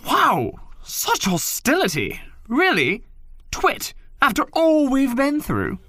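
A woman's voice: high-pitched, huge pitch swings, slow, fairly bright tone, dry recording, clean studio sound, full character voice. A woman playing a sassy, mock-offended companion who bickers snippily in a fantasy audio comedy. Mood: indignant.

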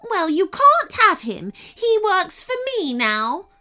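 A shrill young storybook princess, spoiled and bossy. Her very high voice screeches and snaps out orders with wild swoops in pitch, pushed loud and imperious. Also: huge pitch swings, takes it slowly, heavily acted. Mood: indignant shouting. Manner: imperious, snapping.

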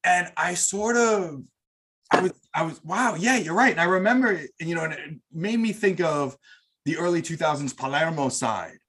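A man's voice: a little high, normal speed, a bit bright, breathy edge. A middle-aged man hosting a podcast, chatting casually and eagerly about football. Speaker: podcast host.

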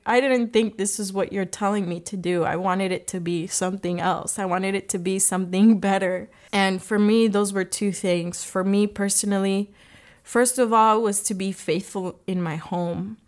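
Earnest woman sharing her faith. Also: medium pitch. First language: English. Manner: earnest, gently emotional. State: voice catching with emotion. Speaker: podcast host. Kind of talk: heartfelt faith reflection.

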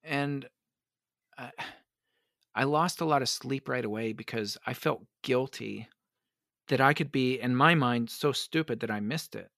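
A man, a pastor, answering interview questions in an earnest, reflective way, his voice worn hoarse by allergies. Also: mid-range voice, a little slow, pauses often, a bit bright, no room sound, clean studio sound. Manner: earnest, reflective, many restarts. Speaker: pastor and advocate.

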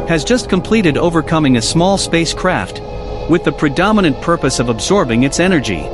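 A male narrator delivers scripted dramatic narration for an audio drama. His voice sits slightly high and moves with lively intonation over a clear music bed.

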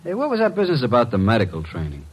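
A man's voice: mid-range voice, wildly swooping pitch, rapid-fire, slightly rough. An actor playing a brash, loyal detective's assistant with punchy, informal tough-guy talk in old radio style. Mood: curious, weary. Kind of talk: 1940s-50s radio mystery acting.

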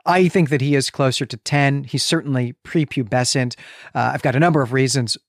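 A male podcast co-host with a medium-pitched voice, recorded dry and close. He discusses books conversationally, building his points in a measured, analytical, debating manner. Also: no echo.

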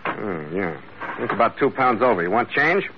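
A man's voice: on the low side, very animated, normal speed. A man acting in an old-time radio adventure, playing a tough, wry sea captain who narrates in a blunt, clipped way. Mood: gruff, businesslike. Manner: hard-boiled, blunt, clipped. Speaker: lead actor and first-person narrator. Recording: noisy, muffled vintage radio recording.

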